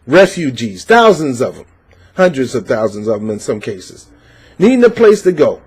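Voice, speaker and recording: A man's voice: medium pitch, sing-song, normal speed, a little mellow, husky. Passionate man ranting about news. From United States. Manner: passionate, preachy, rising emphasis with many fillers. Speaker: political commentary vlogger. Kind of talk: opinionated political commentary, reading and reacting to a news article.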